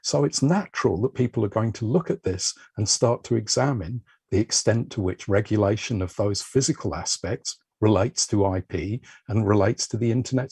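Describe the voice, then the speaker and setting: A man giving a measured, explanatory talk as a panel speaker on a video call. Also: medium pitch, gravelly, dark tone, husky, dry recording. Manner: measured, explanatory, uses metaphors.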